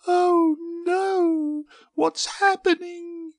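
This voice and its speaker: A man's voice: very high-pitched, fairly even intonation, slow pace, no echo, studio quality, voice pushed up. A man voicing a dainty, dramatic young female seed in a children's storybook, plaintive and exclamatory. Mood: distressed, whining.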